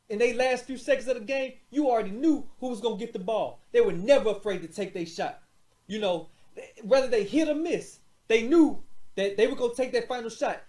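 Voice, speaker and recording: Male motivational presenter, very high-pitched voice, emphatic and repetitive delivery, roomy echo. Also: a little mellow. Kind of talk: motivational monologue.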